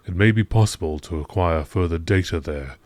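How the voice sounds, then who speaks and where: A man reading scripted narration in a measured, formal nature documentary style. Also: low voice, a little mellow, no room sound. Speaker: gaming channel narrator.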